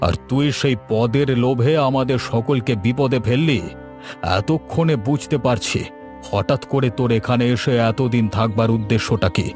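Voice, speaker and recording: A man's voice: mid-range voice, normal speed, darkish tone, faint music behind, close to an everyday voice. A man voicing a stern village father who scolds in a cartoon drama. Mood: indignant.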